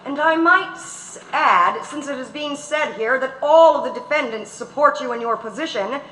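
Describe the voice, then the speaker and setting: Stern, curt courtroom judge woman performer, high sharp voice, echoey stage. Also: moderate pace, a little hiss or hum. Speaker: reader in staged documentary. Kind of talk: dramatic reading of court transcript. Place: large auditorium.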